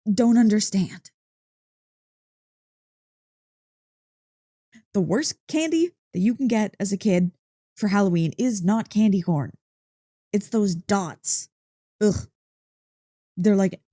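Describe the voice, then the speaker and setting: A woman voicing an excitable, affectionate dog-girl girlfriend in an intimate ASMR roleplay, playful and easily flustered. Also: medium pitch, no room sound, studio quality, close to an everyday voice. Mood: playful, mock-indignant. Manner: playful, expressive, mock-indignant teasing. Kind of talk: ASMR roleplay, intimate one-sided dialogue. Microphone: close, dry microphone.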